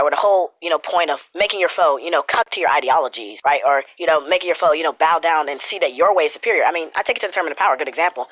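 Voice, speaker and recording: A man's voice: on the high side, fairly bright tone, dry recording, rough recording. A man with a cold and a stuffy nose, rambling through a casual commentary with lots of swearing and filler words. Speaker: YouTuber commentator.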